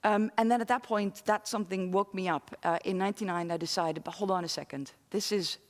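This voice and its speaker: A woman in her forties from London and the Netherlands giving a talk to an online audience, pausing often as she speaks. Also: mid-range voice. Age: 40s. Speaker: guest speaker. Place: studio with very few people present. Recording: reverberant studio hall.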